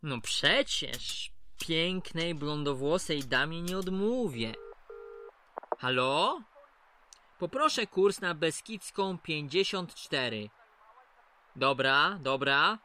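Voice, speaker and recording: A man's voice: a little high, expressive, slow pace, pushed and bright, a little hiss or hum, full character voice. An actor playing a chatty, folksy male prison clerk in an audio drama, familiar and mildly condescending. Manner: familiar, patronising, colloquial.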